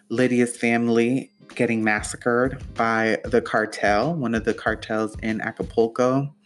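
A young man talks through his solo podcast in a loose, rambling way, circling back with fillers and restarts. His voice is soothing and sits at an ordinary middle pitch. Faint music plays underneath. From Puerto Rico. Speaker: podcast host. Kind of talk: casual solo podcast talk.

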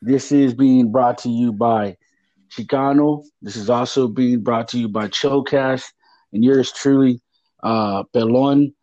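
A man's voice: mid-range voice, fairly even intonation, a little slow, warm tone, no echo. A man hosting a casual podcast, rambling with lots of fillers and restarts. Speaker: podcast host. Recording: muffled lo-fi audio.

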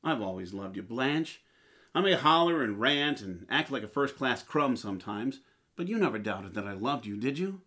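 A man's voice: mid-range voice, very animated, moderate pace, bright, forceful tone. A man playing a blustery, henpecked but sentimental husband in 1940s radio comedy style. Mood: rueful, tender.

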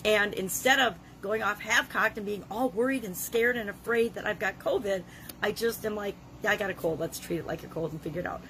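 A middle-aged woman with a gravelly voice from a cold, leading a self-help challenge in an earnest, conversational way. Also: mid-range voice, fairly quick, sharp tone, slight background noise, low-quality recording. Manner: earnest, conversational, with frequent restarts. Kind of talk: motivational talk to camera.

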